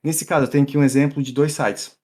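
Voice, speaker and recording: A middle-aged man from São Paulo with an accent mixing Brazilian and European Portuguese, giving a relaxed live-coding talk full of fillers and restarts. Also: fairly high pitch, fast talker. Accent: mixed Brazilian and European Portuguese. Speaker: tech meetup speaker.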